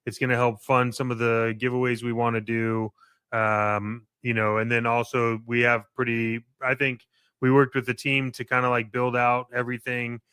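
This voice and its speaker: Bright, clear-voiced man chatting on a podcast. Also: mid-range voice, monotone, moderate pace, dry recording. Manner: informal, loose, hesitant phrasing. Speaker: podcast co-host. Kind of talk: casual promotional podcast chat.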